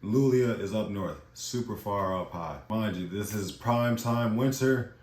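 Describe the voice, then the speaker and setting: Man from the US, young adult, low voice, casual slangy vlog talk, roomy echo. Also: measured pace. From United States. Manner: relaxed, chatty, slangy. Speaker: vlogger. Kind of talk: casual talking-head vlog. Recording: echoey room.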